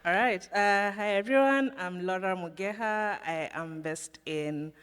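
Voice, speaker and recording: A woman's voice: mid-range voice, a little slow, fairly bright tone, smooth. A woman from Kenya introducing herself as a board member on a panel. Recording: hall reverb.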